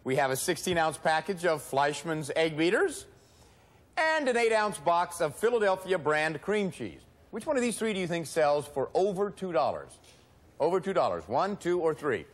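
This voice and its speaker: A middle-aged man hosting a studio game show, talking in a brisk, cheerful, emphatic way. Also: on the high side, big pitch swings. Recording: broadcast with loud music and studio audience.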